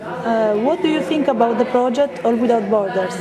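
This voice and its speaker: Female interviewer, higher-pitched voice, brief prompts, crowd chatter clearly audible behind. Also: expressive, normal speed, low-quality recording. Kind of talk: short interview questions.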